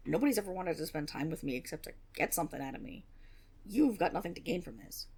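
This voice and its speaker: A woman's voice: lowish voice, animated, quick pace, darkish tone, slightly rough. A woman playing a flustered young tiefling rogue in a fantasy audio roleplay, stammering and blustering, cocky on the surface but unsure underneath. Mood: guarded, wounded. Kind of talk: fantasy roleplay audio drama, one-sided dialogue.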